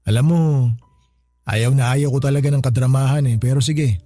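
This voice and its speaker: A man playing a humbled older brother, a former tough guy, speaking casually and with gruff sincerity in a radio drama. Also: medium pitch, steady tone, fairly quick, dark tone, lightly acted. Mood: grateful, lighthearted. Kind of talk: radio drama dialogue, slangy and conversational. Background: background music.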